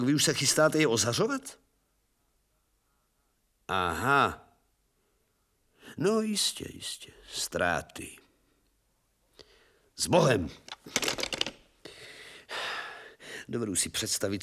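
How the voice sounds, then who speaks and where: An actor playing a weary, bitter, irascible old professor in a theatrical radio drama. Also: male voice, mid-range voice, big pitch swings, long pauses, a bit bright. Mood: scornful, agitated. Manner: theatrical, swinging from resigned murmur to agitated exclamation.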